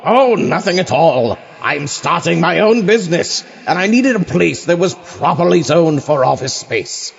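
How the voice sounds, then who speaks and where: A man's voice: fairly high pitch, animated, measured pace, gravelly, hardly any pauses, slightly rough, heavily acted. A man playing a smug, chatty, prissy ghost in a comedy audio drama, on a dull recording. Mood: breezy. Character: smug chatty male ghost starting a business. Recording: dull lo-fi, music underneath.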